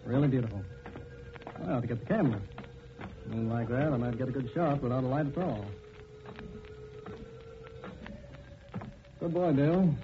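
A man's voice: medium pitch, a little fast, over music, natural voice. An actor playing a wealthy, outwardly genial but easily rattled middle-aged father with a guilty secret, in blustery 1940s radio style. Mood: relaxed musing. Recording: muffled, noisy 1940s radio recording.

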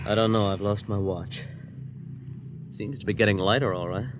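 Brief: male actor as terse young adventure hero, low voice, 1940s radio suspense, muffled recording under music. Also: fairly even intonation, normal speed, noisy background, close to an everyday voice. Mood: weary, grim. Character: wary young man, hard-boiled narrator of his own peril. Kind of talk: 1940s radio drama acting, suspenseful first-person narration. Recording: dull vintage radio recording.

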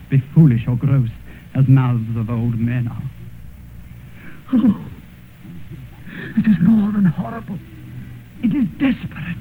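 An actor playing a vain, cynical, decadent gentleman in a theatrical, taunting radio drama style. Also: on the high side, narrow, tinny audio, natural voice. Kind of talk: 1950s radio drama acting. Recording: scratchy old radio recording, thin band, hiss.

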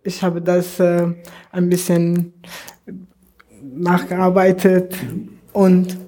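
A young man from Afghanistan with an Afghan accent, answering interview questions in slow, halting learner's German. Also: high-pitched, monotone, mellow. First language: not German. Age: young adult.

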